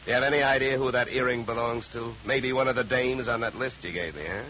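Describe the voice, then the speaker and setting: An actor playing a shrewd, wisecracking private detective in clipped, sardonic 1950s radio style. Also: male voice, mid-range voice, fast, bright, forceful tone, close to an everyday voice. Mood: wry, probing. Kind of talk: 1950s hardboiled radio acting. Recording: dull, hissy 1950 radio recording.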